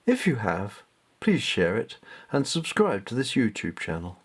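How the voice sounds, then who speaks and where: A man reading his own story aloud as expressive, scripted storybook narration. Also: fairly low pitch, unhurried, slightly rough.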